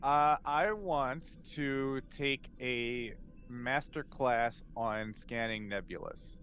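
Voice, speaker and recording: Slow-talking, playful male tabletop player. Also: medium pitch, a bit bright, soft background music. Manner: playful, hesitant, joking. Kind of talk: casual chat. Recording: faintly noisy background.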